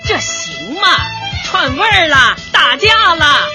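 An actress playing a folksy, chatty middle-aged neighbourhood peacemaker in a light comedy radio drama. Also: female voice, sits high, wildly swooping pitch, takes it slowly, over music, full character voice. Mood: reasoning. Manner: folksy, chatty, exclamatory, conciliatory. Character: bubbly, peacemaking older neighbourhood woman.

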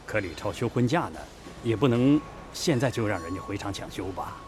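A man acting a down-to-earth, animated and blunt mill worker in a Chinese radio drama. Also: lowish voice, a little fast, slight background noise, close to an everyday voice.

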